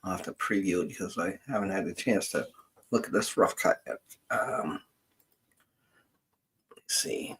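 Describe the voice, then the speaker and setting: Husky middle-aged man, halting and rambling. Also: sits low, fairly bright tone. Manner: halting, rambling, thinking aloud. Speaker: genealogist hosting a livestream. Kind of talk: casual livestream chat.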